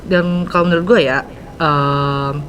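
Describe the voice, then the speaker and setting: A man's voice: on the high side, fairly even intonation, unhurried, fairly bright tone, a little hiss or hum. Teenage boy hosting, filler-heavy. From Indonesia. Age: teens. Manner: slang-heavy, many fillers. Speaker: student podcast host. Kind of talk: casual podcast interview.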